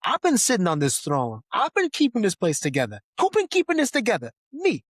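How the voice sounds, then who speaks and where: A man's voice: high-pitched, wildly swooping pitch, fairly quick, fairly bright tone, slightly rough, dry recording, studio quality. A man co-hosting a podcast, giving a casual film review and animatedly acting out bits as he goes. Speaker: podcast co-host.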